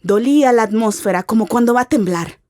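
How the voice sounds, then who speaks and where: A woman's voice: a little high, brisk, darkish tone, no echo, studio quality. A woman giving an ominous, theatrical narration of a literary radio drama.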